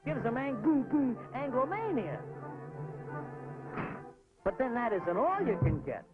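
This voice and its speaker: A jittery old-hand cartoon warplane with a high voice that swoops up and down. The talk is folksy and gossipy, tripping into stammers and repeats. It sounds like a 1940s training cartoon. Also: male voice, very animated, slow, exaggerated voice. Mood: nervous, knowing. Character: jittery, battered talking navy airplane, male, gossipy veteran. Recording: tinny 1940s film soundtrack with music.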